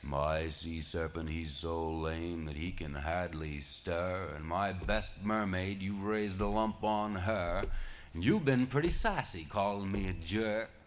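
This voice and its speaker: A man voicing an angry old man of the sea with a thundery, rumbly, muttering voice. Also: takes it slowly, a little hiss or hum.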